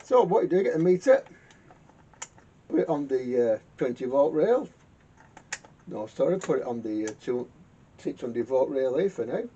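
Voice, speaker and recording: A man giving casual hands-on repair commentary in a halting way, muttering to himself and repeating words. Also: sing-song, warm tone. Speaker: vintage radio repairer hosting a workshop video. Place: garage workshop. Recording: muffled lo-fi sound with rumbling noise.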